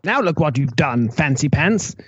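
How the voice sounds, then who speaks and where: A man voicing a cranky, bickering little old-fashioned man in a squeaky, husky voice, read as a comic story. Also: big pitch swings, moderate pace, fairly bright tone, no room sound, dull audio, full character voice. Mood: peevish.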